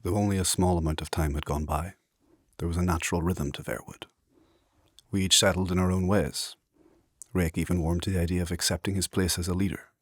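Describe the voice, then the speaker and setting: Very deep, husky ancient warrior. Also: male voice, steady tone, no echo, studio quality. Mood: solemn. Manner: formal, measured, grave. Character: ancient, solemn, proud otherworldly warrior, male. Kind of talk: dark fantasy audio drama acting.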